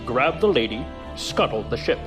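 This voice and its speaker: An actor playing a ruthless, coldly calculating military villain in a science fiction audio drama, giving curt commands. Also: male voice, mid-range voice, expressive, moderate pace, music in the background, natural voice. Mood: cold, commanding.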